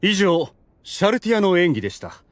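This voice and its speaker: A man's voice: high-pitched, sing-song, fairly quick, no echo. A man playing a formal announcer presenting performers in an anime audio drama.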